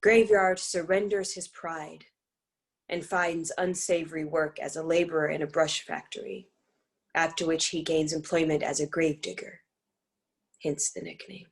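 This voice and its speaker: A woman's voice: low voice, fairly even intonation, normal speed. A woman reading scripted dramatic narration and stage directions for a play.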